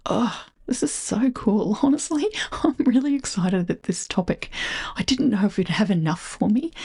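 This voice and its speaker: Soft-spoken Australian woman, husky whisper. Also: on the low side. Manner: soft-spoken, often whispering, musing aloud. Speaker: channel host reading an old book. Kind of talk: soft-spoken rambling read-through. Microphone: close voice-over mic.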